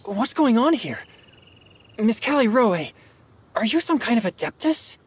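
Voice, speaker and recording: Casting: inquisitive young female anime game character, slightly high, very animated intonation, music behind. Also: measured pace, slightly rough. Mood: astonished.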